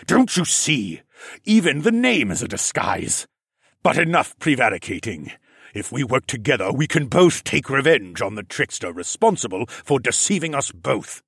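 A towering reptile-man regent, half-blind and consumed by rage, as a male storyteller puts him on. He drags out every threat slowly and bellows it, his delivery swinging widely, recorded close and dry in a storybook telling. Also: mid-range voice, very animated, vocal fry, a bit bright, breathy edge, dry recording, pitched above the performer's own voice, full character voice. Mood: scheming. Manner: bellowing, menacing, slow and deliberate.

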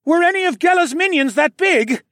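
Man voicing Horace, a high-pitched falcon-man warrior. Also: drawn-out words, no room sound, studio quality, full character voice. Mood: tense, urgent. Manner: excitable, wry. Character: Horace, eager mischievous male falcon-man warrior comrade. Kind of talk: fantasy audiobook dialogue.

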